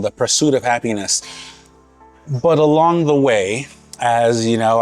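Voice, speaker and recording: A man in his thirties, born in Haiti and raised in Massachusetts, talking to camera in an earnest, conversational, unhurried way. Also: mid-range voice, a little mellow. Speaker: vlogger. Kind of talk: casual reflective talk to camera.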